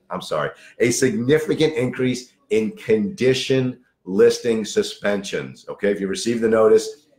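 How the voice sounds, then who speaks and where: A man in his fifties with a hoarse voice, a lawyer giving a direct news briefing and repeating key points for emphasis. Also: fairly low pitch, reverberant. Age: 50s. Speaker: lawyer for online sellers.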